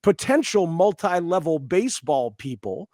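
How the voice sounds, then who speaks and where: An American man speaks as a sports podcast host in casual, wordy commentary. His pitch is a little high for a man, and he lands his points with emphasis. The recording is close and dry. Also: very animated, moderate pace, a little mellow, dry recording.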